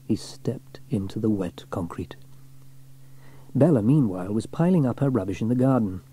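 Male children's audiobook narrator: expressive, husky, very mellow delivery with lively pitch movement. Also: moderate pace. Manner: expressive storytelling. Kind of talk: scripted children's story narration.

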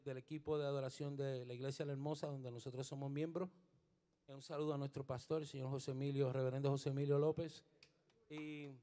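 A middle-aged bandleader with a fairly high voice speaks into a stand mic on a studio stage. He plays bass as he talks. He slips between Spanish and English and hesitates over his words before rising into fervent, sermon-like encouragement. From Puerto Rico. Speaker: bandleader and bassist. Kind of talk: onstage band introductions and spiritual encouragement. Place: indoor studio stage with a band. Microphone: stand microphone while playing bass.

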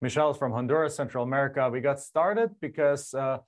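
A man's voice: medium pitch, brisk. A middle-aged man from Germany with a German accent, a guest telling his story animatedly in a casual interview, recorded on a lapel mic in a home studio. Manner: animated, gestures a lot, frequent restarts. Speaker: guest, land investor. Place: home studio with screen and map backdrop.

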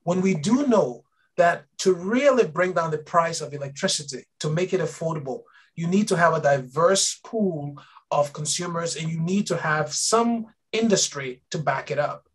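A middle-aged man from Liberia, speaking in a measured way on a video call from a home office as interviewer. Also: fairly high pitch, animated, husky. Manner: measured, repeats words when starting a point. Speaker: interviewer and energy advisor.

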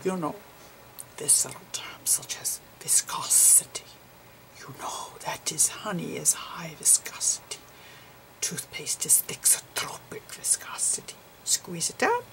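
A woman voicing an eccentric, digressive physicist professor in a whispery, halting ASMR roleplay lecture. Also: lowish voice, heavily acted, rough recording. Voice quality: whispery, breathy. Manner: halting, pedantic, rambling.

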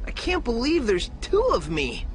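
A man's voice: very high voice, wildly swooping pitch, moderate pace, soft background music, heavily acted. A man playing a cocky, brash young hedgehog hero in a video game cutscene. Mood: surprised, urgent.

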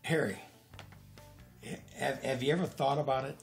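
An actor in his sixties playing an earnest, guilt-ridden older American man, halting and hesitant, in naturalistic film dialogue. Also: mid-range voice, steady tone, vocal fry, soft background music, natural voice. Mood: nervous, tentative. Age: 60s.

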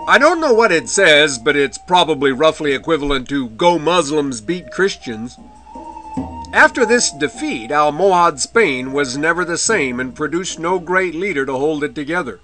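A man delivers a history lecture over slides in a fairly high, bright, forceful voice. He speaks in a measured way and drops in the occasional dry, wry aside. Faint medieval music plays softly behind him. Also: animated. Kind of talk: illustrated history lecture narration.

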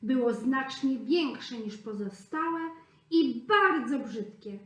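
A woman reading a fairy tale aloud to preschool children in an expressive, sing-song way. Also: high-pitched, slow. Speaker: storyteller at a preschool. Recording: echoey room.

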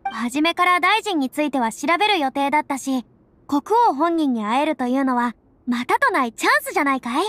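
A woman's voice: high voice, animated, fairly quick, fairly bright tone. A woman playing a thoughtful, self-assured young lady in a game, musing in a slightly grand, boyish way of speaking. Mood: assured, wary. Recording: music under the voice.

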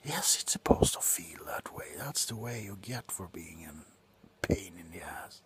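A tipsy man playing a callous, grumpy doctor in a slow, sullen ASMR roleplay, whispering sarcastically up close. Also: deep voice, expressive, a bit bright. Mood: sarcastic, irritated. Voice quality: breathy whisper. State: drunk. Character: grumpy, callous, drunken male doctor on a house call. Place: small cluttered room indoors.

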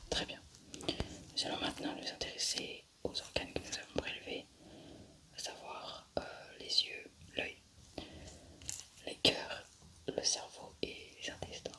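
Whispering woman in ASMR roleplay. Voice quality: whispered, breathy. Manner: slow, halting, murmuring step-by-step commentary. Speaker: ASMR roleplayer playing a scientist examining samples.

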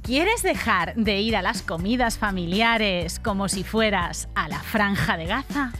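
Woman acting as female advert announcer, Spain accent, dramatic deliberate sales pitch, mock-earnest, radio parody, faint music. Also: lively intonation, fairly bright tone.

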